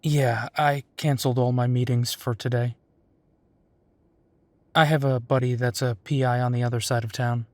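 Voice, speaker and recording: A man's voice: mid-range voice, level tone, normal speed, pauses often, darkish tone, no room sound, natural voice. A man playing a protective, teasing werewolf private investigator in an intimate roleplay audio drama, speaking in a conversational, affectionate way. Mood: determined, serious.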